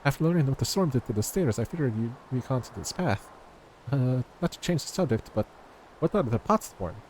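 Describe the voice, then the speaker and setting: A man's voice: mid-range voice, lively intonation, fairly quick, a little mellow, slight background noise, low-quality recording. A man streaming a video game, reading its dialogue aloud and chatting casually, sometimes stumbling over the words. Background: game music.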